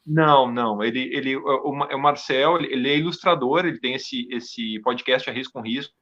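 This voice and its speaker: A man with a Brazilian accent chatting casually as an interview guest, in a halting way with repeated words. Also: mid-range voice, a bit bright. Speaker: podcast guest, audio drama creator. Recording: dull lo-fi microphone.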